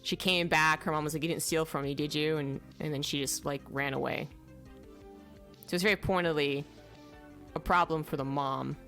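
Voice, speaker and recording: This is a young woman with a low-pitched voice giving a casual spoken film review. She rambles, fills gaps with "like" and "um," and stops to search aloud for names. Faint music plays behind her. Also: fairly even intonation, normal speed, pushed and bright. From native English speaker. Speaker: vlogger reviewing films.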